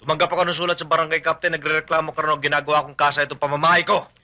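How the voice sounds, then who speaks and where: An actor playing a proud homeowner who scolds and boasts. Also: male voice, on the high side, little pitch movement, very fast, pushed and bright. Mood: scolding. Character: blustering, proud homeowner man.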